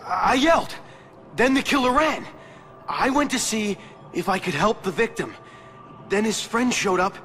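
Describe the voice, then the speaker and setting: A man's voice: sits high, expressive, measured pace, long pauses, bright, forceful tone, full character voice. An actor playing a weak, frightened captive salesman in a video game, stammering and pleading. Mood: anxious, explaining. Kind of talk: video game cutscene acting.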